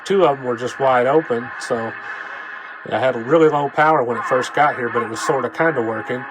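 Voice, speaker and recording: A man's voice: medium pitch, brisk. A man with a cold talks in a plain-spoken, rambling, folksy way through a casual hands-on demonstration. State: coming down with a cold. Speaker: vlogger demonstrating a repaired radio. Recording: clear background noise.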